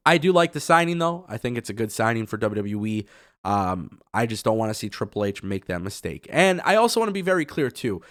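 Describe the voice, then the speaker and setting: A man hosting a wrestling news show, his voice raspy from a cold, talking casually and giving his opinions with lots of fillers. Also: fairly low pitch, very animated, fairly quick, a bit bright, no echo, studio quality. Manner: chatty and opinionated, with many fillers.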